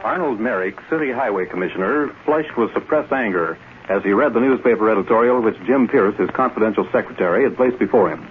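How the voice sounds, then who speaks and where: A man's voice: fairly low pitch, fast, lightly acted. A man announcing and narrating an old radio adventure serial in a dramatic style, on a muffled, noisy broadcast recording. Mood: storytelling. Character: stirring male announcer of a crime adventure serial.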